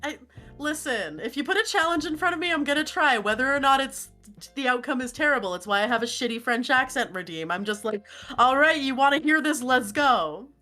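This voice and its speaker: A woman's voice: high voice, expressive, brisk, sharp tone, faint music behind. A woman guesting on a casual stream chat, giggly, easygoing and self-deprecating.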